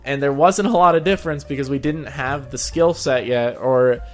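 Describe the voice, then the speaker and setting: A man's voice: mid-range voice, moderate pace. A man co-hosting a casual interview chat about his channel, speaking conversationally. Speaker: co-host and narrator of a story-reading channel. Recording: background music under the voice.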